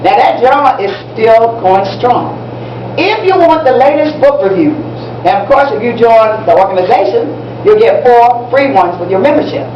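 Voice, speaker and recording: A woman's voice: a little high, animated, normal speed, lightly acted. A woman playing an enthusiastic teacher in a live amateur stage play, instructing students in an encouraging way and repeating herself for emphasis. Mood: instructive, emphatic. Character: enthusiastic, proud woman teacher briefing young students on history. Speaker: teacher in a one-act play. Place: library event room, recorded from audience distance. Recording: distant, muffled, noisy echoey room recording.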